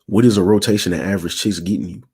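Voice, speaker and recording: A young man in his twenties with a low, raspy voice, a little strained from a sore throat. He talks to his livestream viewers in loose, slangy asides and keeps checking they follow. His tone is dark and mellow. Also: fairly even intonation, very fast. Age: 20s. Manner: slangy, keeps asking "you feel me". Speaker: channel host. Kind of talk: casual livestream talk to viewers.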